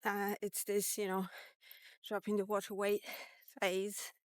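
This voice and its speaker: A woman in her fifties talking casually in a vlog, halting and reflective, pausing between short phrases. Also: medium pitch, monotone, smooth, dry recording. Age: 50s. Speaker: vlogger. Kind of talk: casual walk-and-talk diet vlog.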